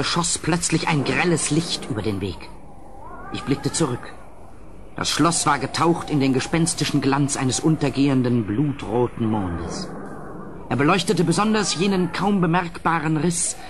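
An actor playing a horrified gentleman who tells a gothic tale in an audio drama, theatrical and urgent, swelling and falling hushed. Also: a little high. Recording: slightly noisy old studio recording.

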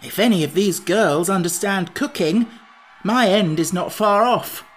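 A reader as a high, plaintive pumpkin-headed man. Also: measured pace, natural voice. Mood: anxious. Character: naive, anxious pumpkin-headed wooden man, childlike.

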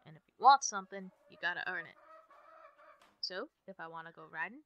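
A woman's voice: lowish voice, lively intonation, brisk, fairly bright tone, dull audio. A woman playing an easygoing young ranch hand in an ASMR roleplay, chatting in a folksy way to a newcomer. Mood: relaxed, friendly. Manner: folksy, drawling phrasing with ain't and gotta. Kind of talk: ASMR roleplay, one-sided chat. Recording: background noise with farm animal ambience.

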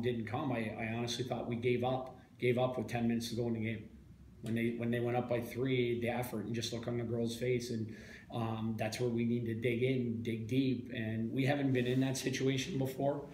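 Middle-aged male coach, halting, repeats words, roomy press-room sound, faint hiss. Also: mid-range voice, darkish tone. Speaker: head coach. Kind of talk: postgame press interview. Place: small press room, indoors.

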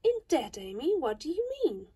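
A woman voicing a kindly, sensible teenage older sister who speaks in a gentle, steady, motherly way. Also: high voice, moderate pace, husky, exaggerated voice.

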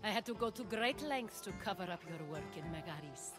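A woman's voice: on the low side, lively intonation, a little fast, natural voice. Actress doing a poised ancient greek noblewoman in a video game, discreet and knowing, music under her. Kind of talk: video game cutscene acting.

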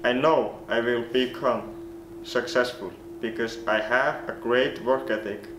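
A young man speaks in an earnest, rehearsed way, his showing on every phrase. His voice sits at an ordinary middle pitch. The camera mic picks up a roomy echo and a steady background hiss. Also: unhurried. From Iceland. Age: late teens. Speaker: golf recruit. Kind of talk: scripted self-introduction to coaches. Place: small plain room indoors.

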